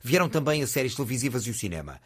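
A man narrating a television profile in a measured, scripted delivery. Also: medium pitch, sing-song, husky.

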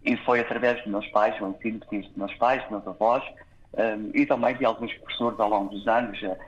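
A man from Canada, born in Toronto, answering interview questions hesitantly, with many filled pauses and restarts. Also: lowish voice, fairly even intonation, lo-fi sound. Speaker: guest.

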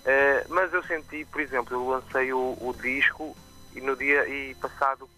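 A man with a Porto accent and some Brazilian expressions, a musician talking casually in a live radio interview, often pausing with fillers and restarting. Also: mid-range voice, soft background music, poor audio. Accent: Porto Portuguese with some Brazilian expressions. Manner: relaxed, chatty, with frequent fillers and restarts. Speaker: musician guest. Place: remote from his own location, on live radio.

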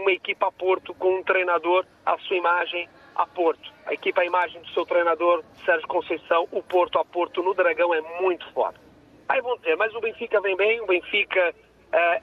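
Over a narrow, tinny phone line, a male caller speaks in a high-pitched voice with a Brazilian-tinged Portuguese accent. He gives his football opinions emphatically and often repeats his points. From Portugal, lived many years in Brazil. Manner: emphatic, repetitive.

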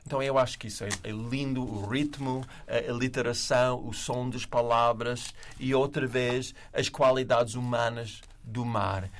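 A man who speaks with a non-native accent, reading verse slowly and measuredly, then talking casually. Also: medium pitch, steady tone, slight background noise. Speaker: writer and panel guest.

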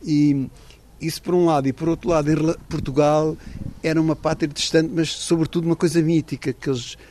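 A man's voice: on the high side, level tone, brisk, a little mellow, a little hiss or hum. Man telling stories with frequent restarts. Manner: thoughtful, digressive, many restarts. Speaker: author and former correspondent. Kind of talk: radio interview, conversational.

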